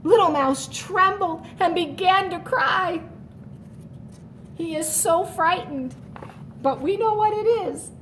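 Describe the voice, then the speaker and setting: A woman reading a children's story aloud expressively, with animated explanatory asides. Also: extremely high pitch, slow pace, pauses often, a little hiss or hum, low-quality recording. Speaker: story reader.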